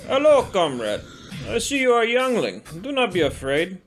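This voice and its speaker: A man's voice: high voice, wildly swooping pitch, normal speed, soft background music, pitched above the performer's own voice. A man voicing a cheerful but menacing male vampire leader who speaks clipped broken English. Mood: mocking. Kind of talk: audiobook character voice.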